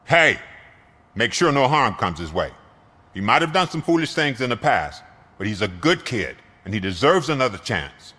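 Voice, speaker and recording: A man's voice: mid-range voice, big pitch swings, normal speed, sharp tone, big-room sound. A man playing a protective, world-weary shop owner in a video game, earnest and plain-spoken. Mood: pleading.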